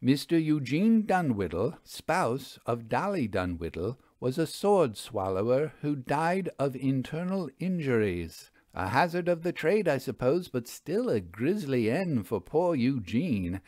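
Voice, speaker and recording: A man's voice: mid-range voice, sing-song, a little slow, few pauses, darkish tone, no echo, studio quality. A man voicing a forthright, wry woman ghost investigator in a storybook, with emphatic old-fashioned formal diction.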